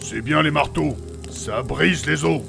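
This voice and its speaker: A man playing a gruff, foul-mouthed brawler, blunt and mocking, in a fantasy audio drama. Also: a little high, unhurried, over music. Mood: gruff, sardonic. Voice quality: gravelly. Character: gruff, foul-mouthed, sarcastic male brawler raised among orcs. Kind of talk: French fantasy audio fiction acting.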